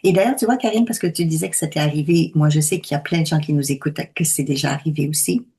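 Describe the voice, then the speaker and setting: Lady in her 60s, slightly low voice, calm steady explaining, takes her time. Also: quick pace. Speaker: medium. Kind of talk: conversational talk.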